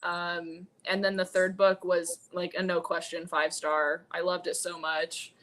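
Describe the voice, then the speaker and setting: A woman's voice: lowish voice, flat delivery, unhurried, a bit bright. A woman chatting casually and informally as a guest host on a book discussion live stream, exclamatory and often feeling her way through thoughts. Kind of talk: casual chat. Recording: clearly noisy room ambience.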